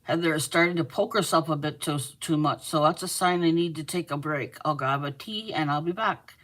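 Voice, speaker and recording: Chatty low-voiced Canadian woman. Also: a little fast, a bit bright. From Manitoba, Canada. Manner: chatty, laughs often, teasing. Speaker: host of a live crochet stream. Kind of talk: casual chat, reading viewer comments aloud.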